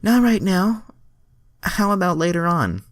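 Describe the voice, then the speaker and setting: A man voicing an excitable, eager young alien superheroine in a breathy falsetto, reading fan fiction aloud. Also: a little slow, no echo, studio quality. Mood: polite.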